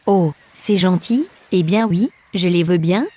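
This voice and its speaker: A woman's voice: lowish voice, measured pace, dark tone. A woman playing a polite young newcomer held captive on an island, in an audio drama.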